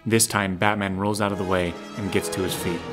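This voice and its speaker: A man's voice: low voice, level tone, fairly quick. A man reading scripted action narration for an audio drama. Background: music.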